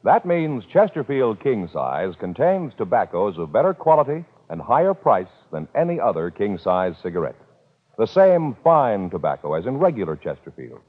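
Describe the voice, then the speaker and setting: A man's voice: fairly low pitch, big pitch swings, moderate pace. An American man announcing in a formal, scripted 1950s radio style, on an old recording. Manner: formal, declarative. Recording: tinny old radio recording, faint music.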